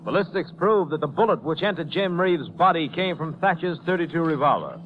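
A man's voice: on the high side, animated, a little fast. A man reading a formal, scripted case report as a 1950s radio announcer. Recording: noisy old radio recording.

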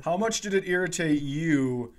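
A man's voice: fairly high pitch, animated, moderate pace. A man co-hosting a podcast with casual, joking banter and wry, profane riffs. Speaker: podcast host.

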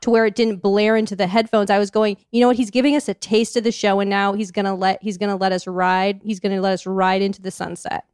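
A woman's voice: medium pitch, little pitch movement, fast, hardly any pauses, darkish tone, clean tone, no room sound. Female co-host, earnest and melodic, dry call audio. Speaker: podcast co-host. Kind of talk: casual comedic chat on a video call. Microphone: video call.

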